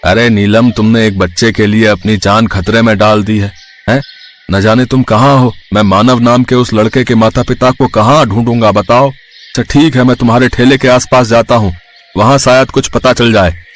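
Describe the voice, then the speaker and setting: A man's voice: medium pitch, steady tone, moderate pace, lightly acted. Man acting a fretful, grumbling husband. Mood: worried. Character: worried, grumbling husband of a street vendor.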